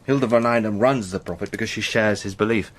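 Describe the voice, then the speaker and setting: An actor as a fervent British gentleman spy. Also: fairly low pitch, fast, lightly acted. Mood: earnest. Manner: earnest, impassioned, literary. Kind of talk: radio drama dialogue.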